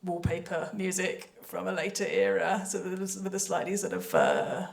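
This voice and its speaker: A woman's voice: fairly low pitch, expressive, normal speed, creaky. A woman speaking on a panel as a composer and sound designer, chatty, hesitant and often interrupting herself. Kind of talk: panel talk about creative work.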